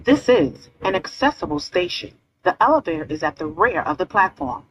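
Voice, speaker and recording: A woman reading recorded subway announcements in a calm, clear, informational manner. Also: medium pitch, normal speed, slight background noise. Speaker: transit announcer. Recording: slightly muffled, faint ambience.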